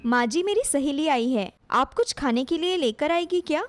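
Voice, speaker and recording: Woman as jealous young daughter-in-law for cartoon tale, high voice, dramatic complaints. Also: animated, moderate pace, soft background music. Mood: scheming.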